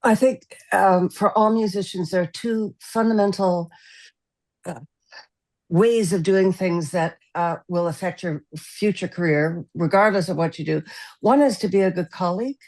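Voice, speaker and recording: A woman speaking as a panelist, explaining her principles in a deliberate, structured way. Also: fairly low pitch, darkish tone.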